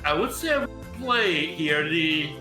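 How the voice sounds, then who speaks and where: This is a Canadian man with French as his first language, voice sitting high, heard in a roomy echo with music playing behind. He chats in an upbeat way and walks through game tricks step by step. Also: lively intonation, unhurried. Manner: chatty, upbeat, explains game mechanics in detail. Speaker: guest player. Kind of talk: casual chat. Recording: echoey room with music behind.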